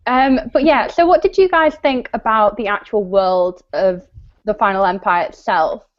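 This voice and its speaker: A woman's voice: mid-range voice, moderate pace. A woman chatting casually and agreeably about books as co-host of a live stream. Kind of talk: casual book discussion chat. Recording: dull lo-fi video-call audio.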